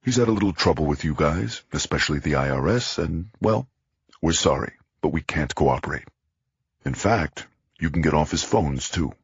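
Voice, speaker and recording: A man's voice: rumbling low, lively intonation, normal speed, husky, dry recording, muffled recording, heavily acted. A man voicing a large, blunt lawyer with a Brooklyn accent. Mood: firm. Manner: blunt, businesslike. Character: large bald blue-collar lawyer, blunt.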